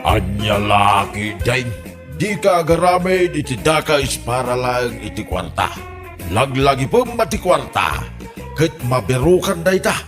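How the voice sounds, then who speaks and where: A man's voice: medium pitch, very animated, a little slow. A man with an Ilocano accent doing dramatic announcing as narrator and host of a drama podcast. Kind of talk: slow dramatic announcing with catchphrase. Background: music.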